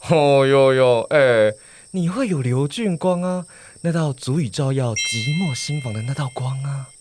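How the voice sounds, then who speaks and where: A man playing a blunt, teasing high school best friend in a radio play, speaking with a Taiwanese accent and plenty of sighs and exclamations. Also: animated, unhurried, lightly acted. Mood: teasing, excited. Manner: slangy, sighing, exclaiming, mocking. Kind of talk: Mandarin radio play acting.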